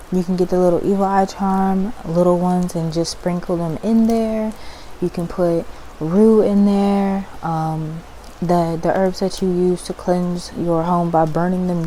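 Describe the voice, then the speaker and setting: ASMR host, female: lowish register, dark and relaxed tone, soothing informal delivery, slight ambient noise behind. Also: poor audio. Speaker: ASMR host and spiritual practitioner. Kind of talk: ASMR, soft-spoken informal explaining. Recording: faint background noise and ambience.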